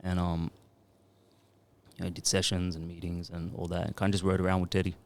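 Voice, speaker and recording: A man's voice: low voice, completely flat delivery, a little fast. A man in his thirties, a music producer and DJ, talking in a laid-back, rambling way into a podcast mic. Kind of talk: casual podcast interview.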